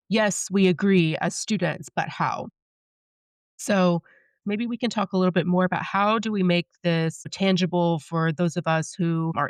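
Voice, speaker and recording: A young woman hosts the conversation with an enthusiastic, affirming manner. Her voice has a gravelly edge yet stays smooth and resonant, and the recording is dry. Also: fairly low pitch, dry recording. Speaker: podcast host. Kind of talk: interview questions, conversational.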